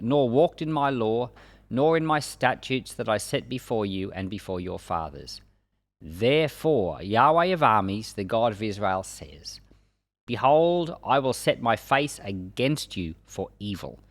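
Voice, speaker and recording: Male scripture reader. Solemn and emphatic, widely varied pitch, very dry close sound. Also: dry recording. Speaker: Bible reader and teacher.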